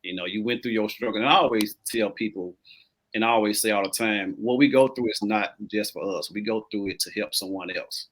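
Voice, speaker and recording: Male host, life coach, lower voice, casual and affirming with guests. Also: brisk, fairly bright tone, a little hiss or hum, low-quality recording. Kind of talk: casual interview.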